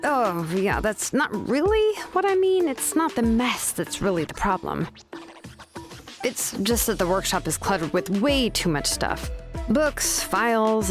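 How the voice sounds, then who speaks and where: A woman voicing a calm, bookish craftswoman in a video game, explaining things in a measured, scripted way. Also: medium pitch, lightly acted. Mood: matter-of-fact. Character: calm, bookish adult craftswoman who forges ancient names.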